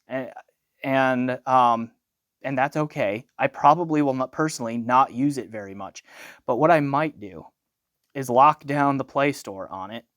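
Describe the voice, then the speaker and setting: A man's voice: medium pitch, fairly bright tone, no room sound, studio quality. A middle-aged man giving an unscripted product review in a conversational way, thinking aloud and posing questions to himself. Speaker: audio gear reviewer.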